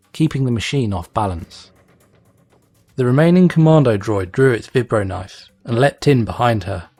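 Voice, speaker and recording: A man's voice: lowish voice, a little mellow. A man reading an action story aloud as narrator, in a dramatic scripted style. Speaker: fan fiction reader, narrator.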